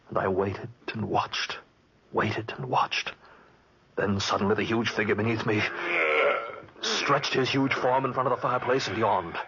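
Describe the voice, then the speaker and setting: An actor playing an exhausted, frightened traveler telling of a terrible night, husky and haunted, in 1940s radio drama style. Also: male voice, fairly low pitch, bright, forceful tone, exaggerated voice. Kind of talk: 1940s radio acting, first-person suspense narration. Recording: muffled vintage 1940s radio recording.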